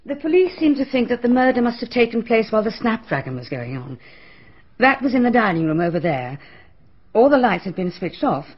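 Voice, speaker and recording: An actress playing a brisk, composed, genteel village hostess in a radio drama, proper and matter-of-fact. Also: female voice, a little high, sing-song, husky. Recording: dull, muffled radio drama audio.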